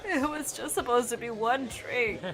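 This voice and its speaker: A woman's voice: on the high side, normal speed, music in the background, a crowd in the background, close to an everyday voice, low-quality recording. A woman playing an uptight, responsible, exasperated road-trip companion in a comedic audio drama. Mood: reluctant. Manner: exasperated, nagging. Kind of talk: comedic table-read radio drama acting.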